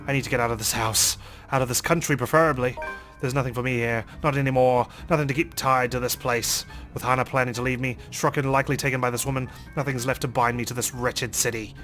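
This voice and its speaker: Fast-talking man reading game narration theatrically. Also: medium pitch, fairly even intonation, a bit bright. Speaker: let's play host reading game text. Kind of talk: dramatic reading of visual novel narration. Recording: voice over game music.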